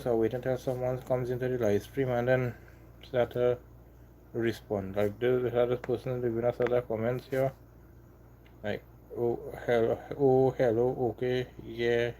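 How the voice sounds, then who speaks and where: Trini guy on a live stream, mellow voice, stumbles and repeats himself, a bit of faint noise behind. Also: medium pitch, low-quality recording. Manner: halting, repeats himself, apologetic then defiant. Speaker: livestream host. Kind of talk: casual live stream chat.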